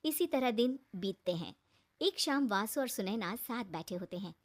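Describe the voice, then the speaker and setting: A woman's voice: mid-range voice, expressive, normal speed. A woman reading scripted narration for a cartoon story.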